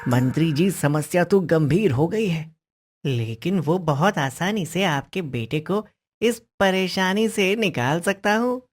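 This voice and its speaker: चतुर लड़का भैरव, धीमी चाल. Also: female voice, fairly low pitch, lively intonation, slow, faint music behind. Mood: shrewd, calm. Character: Bhairav, clever, confident boy who sells advice. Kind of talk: cartoon story dialogue.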